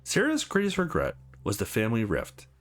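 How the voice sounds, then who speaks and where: A man reading a visual novel aloud as a let's play host, in an expressive storytelling manner. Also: mid-range voice, fairly quick, soft background music. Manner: animated, voices each character's dialogue. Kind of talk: expressive narration with asides.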